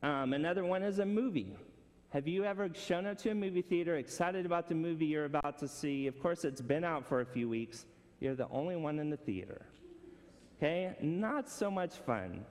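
A man's voice: a little high, expressive, moderate pace, darkish tone, long reverb tail. A man preaching a conversational sermon to a congregation, asking them questions. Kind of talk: casual sermon.

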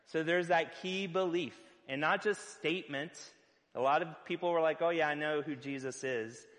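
A man preaching a sermon as pastor, loud-voiced and conversational, with filler words and playful asides. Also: on the high side, in a large hall.

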